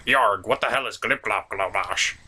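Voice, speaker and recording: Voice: medium pitch, normal speed, sharp tone, breathy edge, voice pushed down. A performer voicing a grumpy, blunt pirate-like puppet sidekick in a comedy puppet show. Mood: grumpy, baffled.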